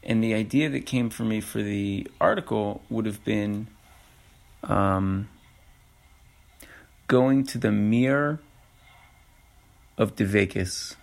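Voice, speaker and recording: Gravelly low-voiced man musing on a podcast. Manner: halting, many restarts and fillers. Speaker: podcast host. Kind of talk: reflective solo podcast talk.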